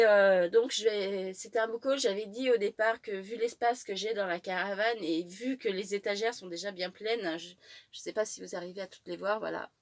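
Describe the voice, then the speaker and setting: A woman with a head cold, chatting through a book haul, hesitant and full of fillers and restarts. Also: medium pitch. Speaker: book vlogger.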